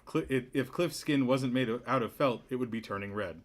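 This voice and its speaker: A man's voice: medium pitch, brisk, background noise. A man narrating the stage directions of a script at a sitcom table read.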